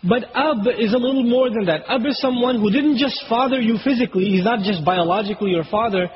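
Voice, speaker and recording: A man whose first language is Urdu, giving an Islamic lecture. He explains Arabic words slowly and recites Quranic verses quickly. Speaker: Islamic preacher. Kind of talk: religious lecture. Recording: dull low-fidelity recording with faint hiss.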